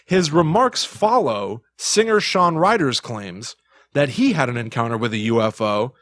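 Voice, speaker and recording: A man's voice: mid-range voice, animated, a little slow, a bit bright, no room sound. Man chatting casually, dry close sound. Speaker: podcast host. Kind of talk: casual conversational podcast chat.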